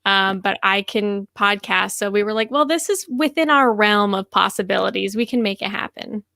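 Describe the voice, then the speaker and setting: Woman podcast guest, medium pitch, dry sound. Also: moderate pace, vocal fry, pushed and bright, no echo. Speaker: podcaster and audio drama producer, guest. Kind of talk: casual chat.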